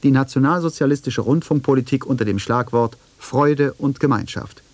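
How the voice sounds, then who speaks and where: A man's voice: mid-range voice, moderate pace, a little mellow, no room sound. Man narrating documentary, very dry studio sound. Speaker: radio feature narrator.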